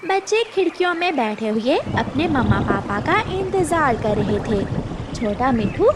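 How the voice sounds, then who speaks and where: Female narrator, high-pitched, children's story reading, lively, clear noise behind her. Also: measured pace. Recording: clearly noisy background.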